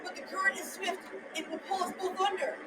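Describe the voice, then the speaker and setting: A woman's voice: high voice, normal speed, roomy sound, far from the mic, crowd noise, background noise, lightly acted. A girl of about twelve or thirteen playing a brave but fearful young prince in a school radio drama, earnestly declaiming the lines. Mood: anxious. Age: child, around 12-13.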